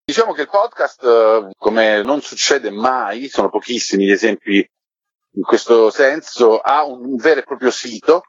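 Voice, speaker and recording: A man's voice: medium pitch, lively intonation, measured pace, breathy edge. A man giving discursive, explanatory answers in a conversational interview. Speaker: podcast curator being interviewed. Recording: roomy, dull lo-fi line.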